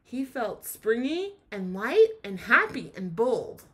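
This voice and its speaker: A woman teacher giving an expressive children's read-aloud lesson, pausing to ask questions and explain. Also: fairly high pitch, slight background noise.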